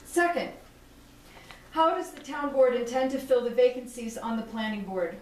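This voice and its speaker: A woman's voice: a little high, lively intonation. Firm woman reading a statement. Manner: firm and deliberate, listing demands point by point. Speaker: resident reading a statement at public comment. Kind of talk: formal prepared statement read aloud. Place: town board meeting room. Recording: echoey room from a distant mic, faint hiss.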